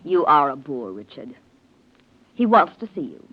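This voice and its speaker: Cool scheming woman, lively pitch, old radio. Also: moderate pace, breathy edge, exaggerated voice. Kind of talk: 1940s radio acting. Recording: muffled vintage radio recording.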